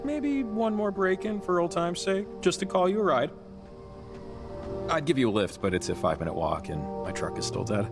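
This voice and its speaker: A man's voice: high voice, expressive, normal speed, creaky. A man playing an easygoing, wry, friendly local in a video game, with a folksy, musing manner. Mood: relaxed, wry. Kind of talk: casual video game dialogue. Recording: loud music behind the voice. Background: loud music.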